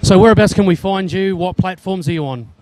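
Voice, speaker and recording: A man's voice: sits high, brisk, darkish tone. A man in his thirties with an Australian accent, interviewing exhibitors at an indoor trade show on a handheld mic in a casual, friendly way. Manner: friendly, chatty, Aussie slang like mate. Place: indoor expo hall, busy.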